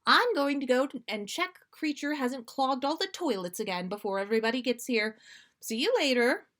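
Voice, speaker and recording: A woman voicing a brisk, bossy, no-nonsense motherly figure in a fan fiction reading. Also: high voice, lively intonation, a bit bright, voice pushed up. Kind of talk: fan fiction read aloud.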